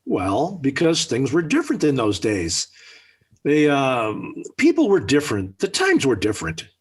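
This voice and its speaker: A man's voice: mid-range voice, huge pitch swings, a little slow, breathy edge, full character voice. An actor playing an exasperated, blustering middle-aged father in an emphatic, flustered 1940s radio sitcom style. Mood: defensive, flustered. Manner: emphatic, flustered, sputtering indignation. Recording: old 1940s radio recording.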